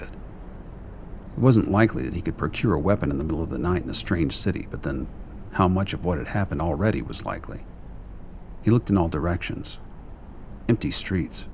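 Deep-voiced male drama narrator. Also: expressive, a little fast, pauses often, a little mellow, slight background noise. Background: music.

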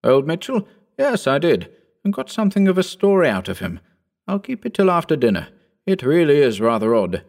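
A man putting on an eager young gentleman. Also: a little high, big pitch swings, a little fast, creaky, voice pushed up.

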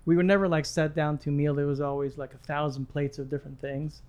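A man's voice: on the high side, little pitch movement, fast talker, a little mellow, clean tone, highs cut off. A man in his sixties from Lebanon, chatting casually as a guest with friendly, rambling talk and many restarts. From Middle East, Lebanon. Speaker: gym client and guest. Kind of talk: casual chat.

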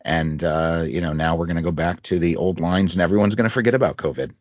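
A man with a very low voice co-hosts a casual podcast. He talks relaxed and acts out little scenes. The sound is dry and slightly dull. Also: fast talker, no echo. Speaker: podcast co-host. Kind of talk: casual chat.

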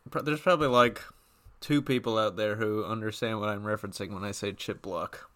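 A man co-hosting a podcast in casual banter, speaking in a halting, conversational way. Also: on the low side, steady tone, pushed and bright. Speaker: podcast co-host.

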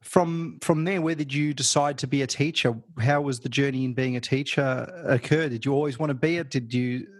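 Husky man asking curious questions. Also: mid-range voice, fairly even intonation, brisk, few pauses. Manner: curious, asks strings of rephrased questions. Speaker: interviewer. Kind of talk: interview questions.

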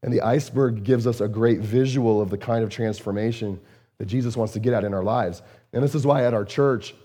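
A man with a low, warm voice gives a casual, earnest sermon as a pastor. He restarts phrases and leans on fillers, and his voice sounds a little strained and hoarse. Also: fairly quick. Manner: earnest, repeats words and phrases, frequent fillers. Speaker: lead pastor. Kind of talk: conversational sermon.

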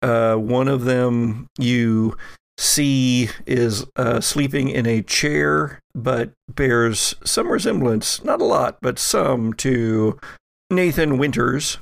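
Slow, gravelly male game master. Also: mid-range voice, no echo. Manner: halting, deliberate narration. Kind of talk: narrating a tabletop roleplaying game, improvised.

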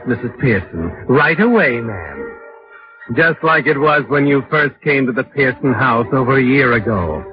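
Sardonic radio mystery narrator, music behind. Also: male voice, mid-range voice. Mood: mocking, insinuating. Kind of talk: dramatic 1940s radio narration, second person. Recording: dull vintage radio recording, music behind.

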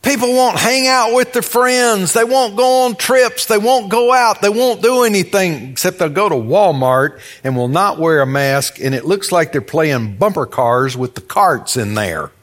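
A man's voice: high-pitched, unhurried, hardly any pauses, a bit bright. An American man preaching a sermon in a folksy, conversational way, telling stories, repeating phrases for emphasis and asking rhetorical questions.

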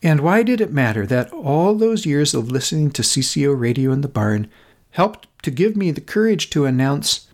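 A middle-aged man, narrating a personal essay as a podcast host in an earnest, contemplative manner with deliberate, short phrases. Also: mid-range voice. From Minnesota, United States.

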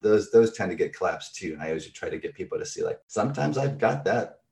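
A man's voice: deep voice, lively intonation, fast, creaky, darkish tone. A middle-aged man, a therapist, explaining concepts in a thoughtful, conversational way with everyday examples.

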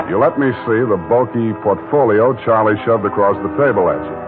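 An actor playing a dry, wisecracking private detective, narrating a 1940s radio drama in a laconic, knowing tone. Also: male voice, fairly low pitch, fairly quick, phone-quality sound, close to an everyday voice. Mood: wry. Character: hard-boiled male private detective, dry and wry. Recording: scratchy old radio broadcast with music.

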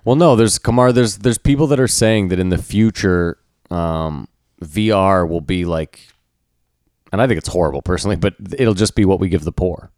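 Low-voiced man co-hosting a podcast. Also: moderate pace, a little mellow, dry recording, studio quality. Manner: joking, opinionated. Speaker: podcast co-host. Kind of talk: casual chat.

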